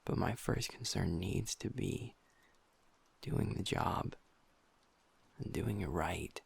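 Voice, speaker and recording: A man performs a young werewolf who has become his pack's second in command, low-pitched and heavily gravelly. His voice stays breathy and husky, close to a whisper. He swears freely and keeps blaming himself, in the style of an intimate audio roleplay. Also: slow, exaggerated voice. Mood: frustrated. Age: young adult. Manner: soft, hushed, swearing, self-critical.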